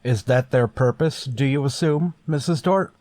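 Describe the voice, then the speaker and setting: A man playing a stern, wary starship captain in a sci-fi radio drama, giving curt orders with measured authority. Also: mid-range voice, darkish tone, no room sound, clean studio sound, natural voice. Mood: commanding. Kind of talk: golden age sci-fi radio drama acting.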